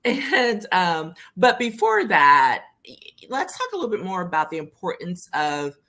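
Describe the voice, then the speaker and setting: A woman's voice: on the low side, wildly swooping pitch, unhurried, pushed and bright. A woman co-hosting a podcast conversation, casual and chatty. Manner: casual, chatty, laughing easily. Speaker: co-host.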